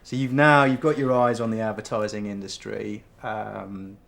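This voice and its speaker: A man's voice: fairly low pitch, little pitch movement, moderate pace, creaky, fairly bright tone. A man interviewing a guest, asking long probing questions with hesitant restarts and fillers. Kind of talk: interview conversation.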